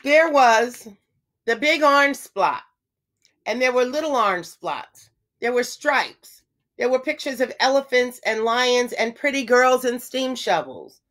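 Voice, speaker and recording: A woman teacher reading a children's storybook aloud, with expressive phrasing and emphasized words. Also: on the high side, a little slow.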